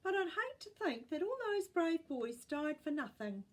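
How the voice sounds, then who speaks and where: A woman with a New Zealand accent voicing an elderly great-grandmother in a storybook, wry and gently firm. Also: high voice, very animated, normal speed, voice pushed up. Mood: wry, earnest.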